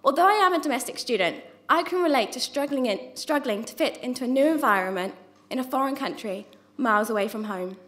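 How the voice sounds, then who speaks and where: Young woman giving a prepared speech, high voice. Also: lively intonation, a little fast, a bit bright. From not from New Zealand. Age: young adult. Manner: earnest, with small restarts on words. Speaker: student presenter. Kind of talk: prepared speech read from notes. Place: university lecture theatre. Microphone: microphone on a stand.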